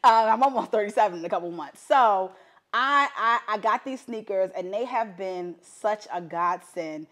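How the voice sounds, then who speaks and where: A chatty woman hosts a relaxed interview. She talks in easy, playful asides and laughs often. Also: medium pitch, moderate pace. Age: 30s. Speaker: podcast host. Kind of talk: casual interview chat.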